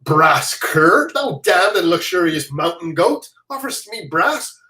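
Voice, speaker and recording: A reader puts on a boastful, blustering soldier who barks his threats. The voice is pushed loud, and the room rings with echo. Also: medium pitch, very animated, measured pace. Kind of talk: reading a play aloud. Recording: roomy echo.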